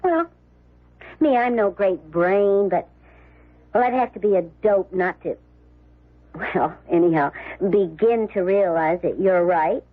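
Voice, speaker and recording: Naive, plain-spoken young woman: husky, mid-pitched, thin vintage radio sound. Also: lively intonation, a little slow, pauses often, narrow, tinny audio. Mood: hesitant, sincere. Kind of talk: radio drama acting.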